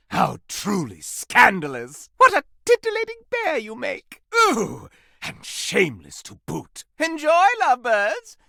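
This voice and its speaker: A living candelabra from a video game, a flamboyant gossip who is easily scandalized. The delivery is grandly theatrical and mock-archaic, leaping across a huge pitch range with a bright, cutting edge and slow, drawn-out relish, in a dry close recording. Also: male voice, vocal fry, no room sound, heavily acted. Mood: coy, delighted. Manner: theatrical, mock-archaic, dramatic exclamations and coy asides. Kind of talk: animated video game character acting.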